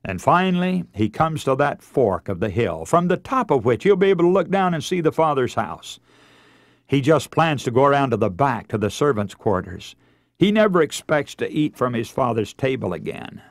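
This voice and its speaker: A man's voice: medium pitch, very animated, normal speed, dry recording, clean studio sound. An American man preaching a radio sermon as a dramatic, emotive story, with theatrical delivery and rhetorical questions.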